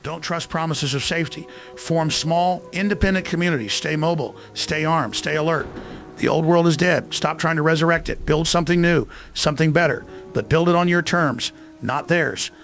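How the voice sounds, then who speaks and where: A man's voice: on the high side, normal speed, fairly bright tone, soft background music. A man playing a paranoid, defiant survivalist in an apocalyptic audio drama, terse, emphatic and insistent. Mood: urgent, defiant. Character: paranoid, defiant male survivalist distrusting all authority.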